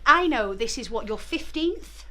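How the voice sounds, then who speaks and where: A woman's voice: a little high, animated, measured pace, sharp tone. A woman interviewing casually, chatty and eager in the way she prompts. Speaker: interviewer and panto performer.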